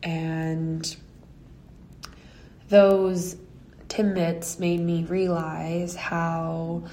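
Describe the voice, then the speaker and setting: A woman in her early twenties from Canada, telling a measured, reflective story about her time studying abroad. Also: on the low side, little pitch movement, dark tone. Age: early 20s. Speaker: guest.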